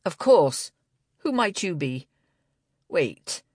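A woman's voice: fairly low pitch, sing-song, slow, a little mellow, husky, dry recording, studio quality, full character voice. A woman narrator voicing a fussy, gloomy male librarian who grumbles and exclaims, in an audiobook. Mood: suspicious. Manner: grumpy, exclamatory, muttering.

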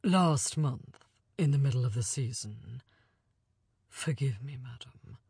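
A woman's voice: a bass voice, slow, breathy edge, no room sound, clean studio sound, voice pushed down, heavily acted. Woman doing a grave older male envoy. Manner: grave, hushed, formal. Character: lean older male French envoy, sorrowful and courteous.

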